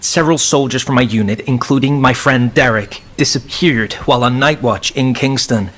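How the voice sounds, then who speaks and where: A man's voice: mid-range voice, a bit bright. A man playing a polite, desperate young comms soldier in a video game, deferential and hesitant as he pleads. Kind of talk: video game cutscene acting.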